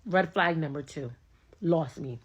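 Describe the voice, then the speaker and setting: A woman's voice: low-pitched, measured pace, husky, lo-fi sound. A young woman telling a date story in a casual, slangy way, acting out both sides of the conversation. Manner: animated, slangy, acts out both sides of dialogue.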